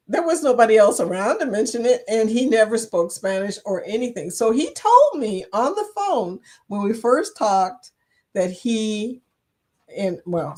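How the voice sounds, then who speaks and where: Chatty woman hosting a live chat. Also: mid-range voice. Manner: laughs often, chatty and animated, reads out comments. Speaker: livestream chat host. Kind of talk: casual live chat, reading viewer comments.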